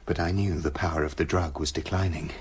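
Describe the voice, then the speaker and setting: An actor playing a tormented British gentleman scientist confessing his dark side in a brooding, literary radio drama narration. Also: rumbling low, steady tone, fairly quick, husky, heavily acted. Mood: grave, confessional.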